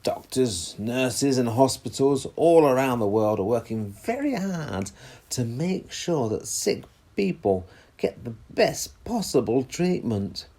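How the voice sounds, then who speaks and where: A man playing a calm, patient dad explaining things reassuringly in a children's story. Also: medium pitch, takes it slowly.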